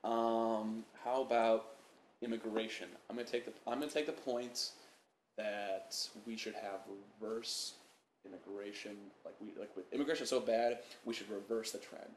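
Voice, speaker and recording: A man's voice: lowish voice, fairly even intonation, normal speed. A young man co-hosting a casual podcast, rambling and profane, with lots of stammered restarts. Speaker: podcast co-host. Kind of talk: casual banter. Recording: echoey room with faint noise.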